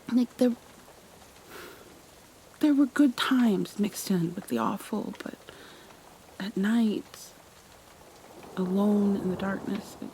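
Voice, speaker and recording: ASMR roleplay: actress as possessive yet tender female captor, haunted, coaxing, halting, breathy whispers, very unhurried, mid pitch, rain noise behind. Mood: haunted, wistful. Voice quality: soft, breathy, often dropping into whispers. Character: tender, obsessive female kidnapper soothing her captive, haunted, possessive. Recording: clear background noise, rain ambience.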